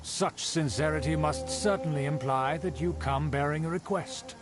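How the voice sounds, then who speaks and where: A man's voice: on the high side, moderate pace, close to an everyday voice. An actor playing a pompous, snobbish middle-aged nobleman who speaks formally and condescendingly. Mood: haughty. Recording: game dialogue with music behind.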